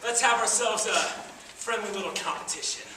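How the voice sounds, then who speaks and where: A man playing a cocky, teasing bachelor who fancies himself a smooth gentleman charmer in a stage comedy. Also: high voice, moderate pace, natural voice. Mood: challenging, cocky. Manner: smug, smooth-talking, showy. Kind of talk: live amateur stage comedy acting. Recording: echoey theater from far off, noisy.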